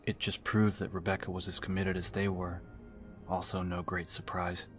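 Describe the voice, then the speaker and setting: A man with a very deep voice narrates an audiobook in a scripted, dramatic style. His intonation stays very flat and even, and music plays behind him. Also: moderate pace.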